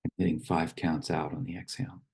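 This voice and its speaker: A man leading a guided meditation with poetic readings, speaking slowly and unhurriedly with pauses between short phrases. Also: very deep voice, little pitch movement, creaky, darkish tone, breathy edge. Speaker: meditation teacher.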